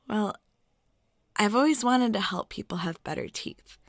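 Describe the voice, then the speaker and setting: Woman acting as a cute flirty waitress, giggly n chatty, kinda coy, mid-pitched voice, very dry recording. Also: expressive, slow, vocal fry, no echo. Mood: shy, giggly. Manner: giggly, chatty, coy, trailing into filler questions. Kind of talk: intimate flirtatious roleplay audio.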